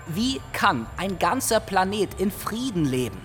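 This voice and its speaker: Radio drama earthling: high-pitched, earnest and troubled, hesitant delivery, faint music behind. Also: male voice, sing-song, a little slow, fairly bright tone, exaggerated voice. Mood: earnest, impassioned. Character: earnest, troubled earthling man seeking answers.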